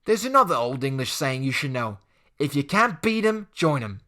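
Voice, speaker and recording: A man's voice: mid-range voice, sing-song, moderate pace, bright, forceful tone. A man voicing a cocky teenage boy bully in an audiobook, with a mocking, sneering manner. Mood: menacing. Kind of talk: audiobook character voice.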